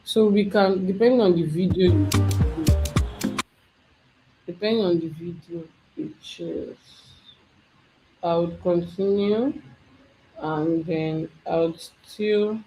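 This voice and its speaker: An instructor talking through a software tutorial step by step, thinking aloud as they work. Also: medium pitch, slow, long pauses, highs cut off, faint music behind. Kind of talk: screen-share software tutorial.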